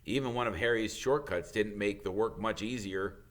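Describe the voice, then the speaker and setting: A man reading a children's novel aloud as a teacher would, in expressive storybook narration. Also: on the low side, normal speed.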